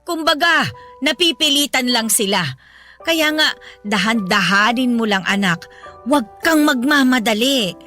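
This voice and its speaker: A proud, hardworking Filipino mother in a radio drama. Her voice is high and bright. She speaks in an effusive, motherly way, humble and full of feeling, over soft background music. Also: animated, measured pace. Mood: gently advising.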